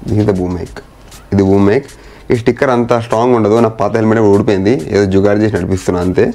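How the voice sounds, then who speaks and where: A man's voice: lowish voice, steady tone, very fast, warm tone. A man talking informally through a hands-on installation as a vlogger, mixing in English words and phrases. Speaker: motorcycle vlogger. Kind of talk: casual hands-on installation walkthrough.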